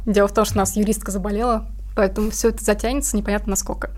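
Casting: female interview guest, medium pitch, casual conversational delivery, informal explanations. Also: level tone, racing. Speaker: interview guest, comic artist.

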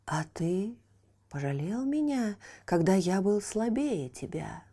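A woman voicing the wind as a taunting, vengeful male spirit who speaks in a breathy hush. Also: medium pitch, very animated, takes it slowly.

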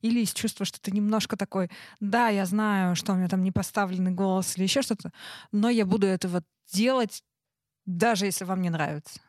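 A woman co-hosting a casual, reflective conversation, thinking aloud in halting phrases. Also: mid-range voice, fairly even intonation, a little mellow, no echo, studio quality. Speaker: talk show co-host.

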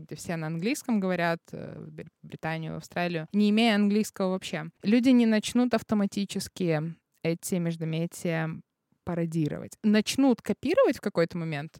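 A woman in her thirties hosting a podcast, explaining things in a chatty, animated way with plenty of fillers. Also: lowish voice, no room sound, studio quality. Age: 30s. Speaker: podcast host, head of an English school. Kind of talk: casual podcast conversation.

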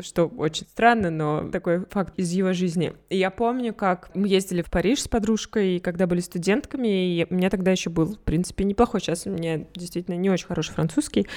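A woman co-hosts a relaxed podcast and tells personal stories. She talks in an animated, chatty way at an average pitch, laughing between phrases and often restarting a thought mid-sentence. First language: Russian. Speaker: podcast co-host. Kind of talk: casual conversational storytelling.

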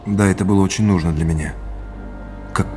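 An actor playing a sincere, grateful heir in an audio drama, speaking in measured, earnest short phrases. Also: male voice, sits low, fairly even intonation, over music, close to an everyday voice. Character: Oleg, sincere grateful heir to a family legacy.

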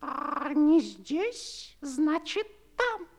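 Shrill, slow, haughty talking parrot umbrella. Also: female voice, expressive, creaky, heavily acted. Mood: smug, mysterious. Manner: slow, drawn-out, self-important. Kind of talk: 1960s radio play acting.

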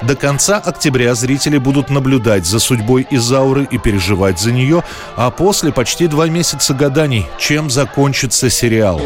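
A man's voice: medium pitch, moderate pace. A man reading scripted documentary narration as the narrator of a history programme. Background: faint background music.

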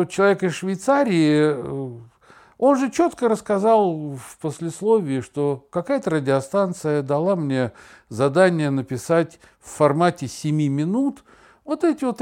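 Middle aged guy chatting on a podcast, kinda high voice, very up and down, stammers into his stories. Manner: animated, emphatic, halting with stammered repetitions. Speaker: podcast host. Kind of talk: casual reflective conversation with anecdotes.

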